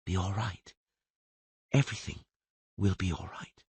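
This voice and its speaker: A man playing a mysterious sorceress in a storybook, hushed and gentle, coaxing softly. The voice sits a little higher and very quiet, husky and breathy. It sounds drawn back and close, with no room echo. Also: fairly even intonation, unhurried, exaggerated voice. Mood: soothing.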